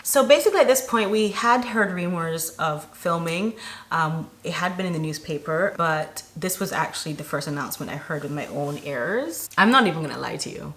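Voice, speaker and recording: A woman from Barbados telling a personal story to camera as a vlogger, in an animated, chatty and emphatic way. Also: low voice, fairly quick.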